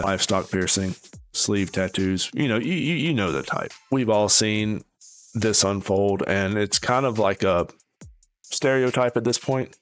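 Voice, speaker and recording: A man giving casual, opinionated reaction commentary as a video host, in a sardonic, dismissive manner. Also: deep voice. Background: background music.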